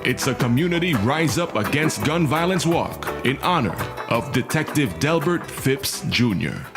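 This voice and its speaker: A man's voice: mid-range voice, unhurried. Male announcer over background music. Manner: steady, formal reading. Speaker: radio announcer. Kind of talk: community announcement.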